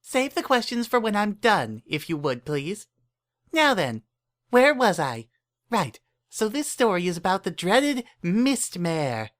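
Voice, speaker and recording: High-pitched spooky storytelling filly. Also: female voice, big pitch swings, measured pace. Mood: spooky, self-important.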